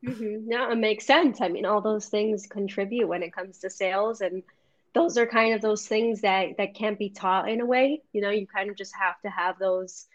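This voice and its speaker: A woman's voice: mid-range voice, fairly even intonation, normal speed, dry recording. A woman hosting a podcast who asks long, chatty questions and peppers them with "like.". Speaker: podcast host. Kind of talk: casual interview chat. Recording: dull, dry, lo-fi sound.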